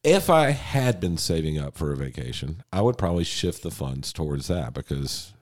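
A man with a deep voice and a Southern twang, co-hosting a podcast in a rambling, profane, laughing way. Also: sing-song, moderate pace, a little mellow. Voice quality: deep drawl. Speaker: podcast co-host. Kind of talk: casual chat.

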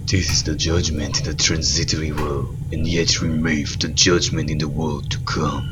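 Very deep, wrathful old uncle acted by a man. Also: flat delivery, normal speed. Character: commanding, wrathful old uncle passing judgment. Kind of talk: audio drama acting in archaic English. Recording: very noisy lo-fi drama recording.

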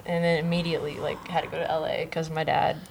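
A young woman talking casually as a guest, her voice sitting a little low. She tells stories in a loose, laid-back way, swearing freely. A faint hiss runs under the recording. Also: level tone, fast, vocal fry, low-quality recording. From Texas, raised in Los Angeles. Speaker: guest artist. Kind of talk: casual interview chat.

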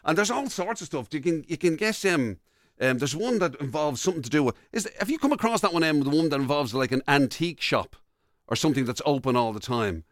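A man's voice: on the high side, lively intonation, fast, dry recording, clean studio sound. An Irish man co-hosting a radio show, chatting casually and colloquially and reading out listener messages. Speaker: radio breakfast show co-host. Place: radio studio, dry close sound.

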